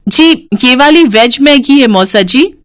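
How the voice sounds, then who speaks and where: A woman voicing a naive, eager young bride in a story, quick to reassure. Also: high voice, lively intonation, a bit bright, no room sound, studio quality, voice pushed up, heavily acted. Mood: sheepishly proud.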